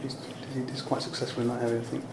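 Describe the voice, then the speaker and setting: A man's voice: mid-range voice, monotone, rapid-fire, gravelly. A man with an English accent asks informal, rambling questions in a casual interview chat. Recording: echoey room, some background noise.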